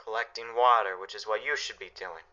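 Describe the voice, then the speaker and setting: An actor playing a stern, anguished father guarding his family, speaking gravely and emphatically in a radio play. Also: on the low side, fairly even intonation, brisk, pushed and bright, dull audio. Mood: firm, curt. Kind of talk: dramatic radio play acting.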